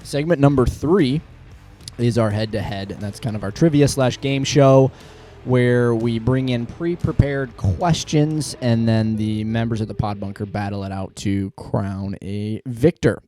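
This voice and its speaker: Male podcast host, casual banter, mellow voice, music behind. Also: medium pitch, measured pace. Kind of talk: casual banter and show intro.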